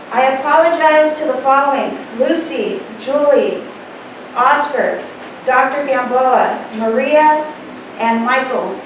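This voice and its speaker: A middle-aged woman delivering a prepared complaint to a board in a formal, deliberate, emphatic way. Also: fairly high pitch. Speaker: former clinic manager giving public comment. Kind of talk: prepared speech of complaint at a meeting. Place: public meeting room, indoors. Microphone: distant room microphone. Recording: very echoey, noisy room, distant mic.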